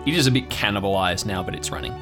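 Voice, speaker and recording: A man's voice: deep voice, lively intonation, very fast, over music. A man narrating an improvised tabletop story as game master, describing scenes in a conversational way.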